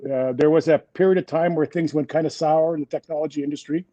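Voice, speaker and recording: French Canadian executive, 60s, mellow dry voice, lo-fi sound, halting with fillers. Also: male voice, on the high side, steady tone, dry recording. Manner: hesitant, many fillers and restarts. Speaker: guest executive. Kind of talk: interview conversation.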